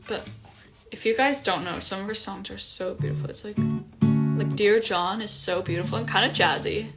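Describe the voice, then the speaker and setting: A young woman from Iceland, a singer-songwriter chatting casually on a livestream from home, relaxed with frequent ums. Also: medium pitch, normal speed, creaky. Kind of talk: casual livestream chat between songs. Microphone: phone livestream. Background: guitar playing.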